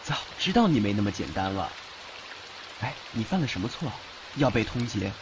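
A man playing a devoted, trusting young godson in a fan audio drama, expressive and reassuring. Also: lowish voice, moderate pace. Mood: concerned, surprised. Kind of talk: Mandarin fan radio drama acting. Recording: muffled, faint background noise, rain-like ambience.

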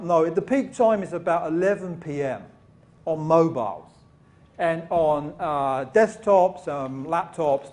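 A man's voice: fairly high pitch, a little mellow, slight background noise. A man giving a keynote talk in a conference hall, loose and full of fillers, asking the audience questions. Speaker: keynote speaker.

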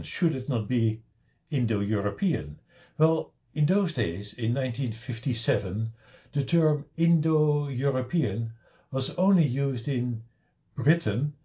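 An older man with a Dutch accent giving a slow, deliberate slideshow lecture on his own paper, with wry asides, recorded on a webcam at home. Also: mid-range voice, dark tone, lo-fi sound.

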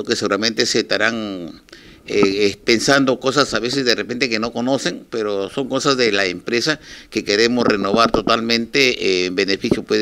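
An older man with a Peruvian Spanish accent speaks haltingly and informally in an interview, addressing his listeners. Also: fairly low pitch, a bit bright. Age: 60s or older. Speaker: radio station manager, interviewee. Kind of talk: informal televised statement to listeners. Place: small office, indoors. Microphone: handheld reporters' microphones held close.

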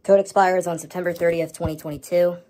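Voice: medium pitch, fairly even intonation, fast, a little mellow. An American speaker talking casually to a handheld camera indoors at home, showing items in a vlog update. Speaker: host of a home media collection update. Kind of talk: casual show-and-tell vlog.

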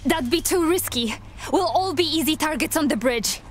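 An actress playing an earnest, compassionate young resistance fighter in a video game, with a pleading manner. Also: female voice, high-pitched, lively intonation, normal speed, slight background noise. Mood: concerned. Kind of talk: dramatic video game voice acting.

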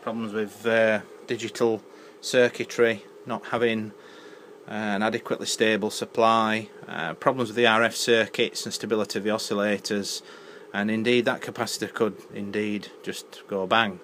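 A man's voice: lowish voice, little pitch movement, normal speed, a bit bright. A man giving an unscripted, matter-of-fact technical demonstration as a repair technician at a workbench. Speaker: electronics repair technician presenting. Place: indoor electronics workbench. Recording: noisy handheld camera audio in workshop. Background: clear background noise.

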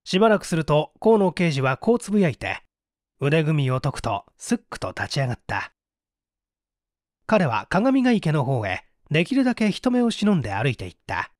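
A man narrating a detective story as an audiobook, with theatrical, deliberate phrasing. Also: fairly high pitch, darkish tone, no echo, clean studio sound. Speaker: audiobook narrator, stage actor.

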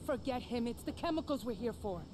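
A woman plays a tough young gangster in a video game, curt and impatient. Her high voice moves widely in pitch, with clear noise behind it. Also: lively intonation, fairly quick, natural voice. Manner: curt, commanding. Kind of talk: video game cutscene acting. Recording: game audio with background noise.

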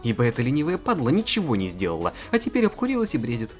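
A woman's voice: rumbling low, wildly swooping pitch, rapid-fire, exaggerated voice. A woman plays a sarcastic young female co-author, wry and exasperated, her voice swinging up and down. Faint music sits behind this homemade visual novel dub.

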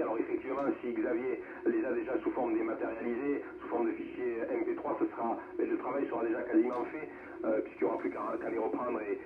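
A man moderating an amateur radio round-table in speech, heard over the air. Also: mid-range voice. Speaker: amateur radio net host. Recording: noisy, echoing, narrow-band radio reception.

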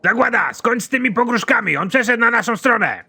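A man playing a bossy, excitable, foul-mouthed army general in an animated comedy, with a commanding, exasperated delivery. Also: very high-pitched, fairly quick, gravelly, slightly rough, full character voice. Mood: reproving.

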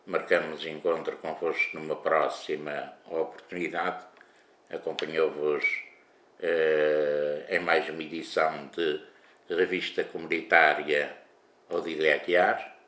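Older guy host, formal and careful with some ehs, super low voice. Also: flat delivery, fairly bright tone. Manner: formal, measured, with hesitations. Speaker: community TV host. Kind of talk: formal talk to camera. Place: living room at a table, indoors. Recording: echoey room.

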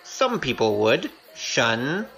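Casting: woman for young male rogue, wry and flippant, hugely expressive, audio drama with music underneath. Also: a bass voice, slow pace, vocal fry, exaggerated voice. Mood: exasperated.

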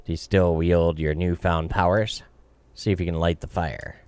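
A man voicing a courteous, solemn ghostly captain from a video game, formal and measured. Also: low-pitched, steady tone, a little mellow, natural voice. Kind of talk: voiced game dialogue. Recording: music behind.

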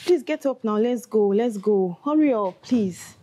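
A female actor's young Nigerian friend speaks in a fairly high voice. She is exclamatory, caring and easily exasperated, in comedy-skit style, with faint music behind. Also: expressive, a little slow, dark tone. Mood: worried. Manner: exclamatory, pleading.

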